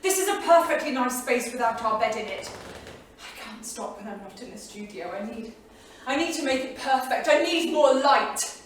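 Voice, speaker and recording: High, echoing actress as an insistent woman. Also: animated, normal speed, lightly acted. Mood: restless, insistent. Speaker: stage actor in a staged reading. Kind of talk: theatrical reading. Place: small theatre studio. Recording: very echoey theatre, distant mic, faint noise.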